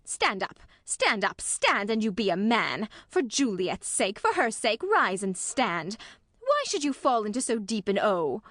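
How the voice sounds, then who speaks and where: A woman's voice: medium pitch, sing-song, unhurried, a bit bright, dry recording, studio quality. A woman playing a fussy, woeful nurse in a Shakespearean tragedy, with a wailing, melodramatic delivery. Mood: fretful, scolding. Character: fussy, woeful female nurse serving a young lady. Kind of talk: classical stage tragedy in audio.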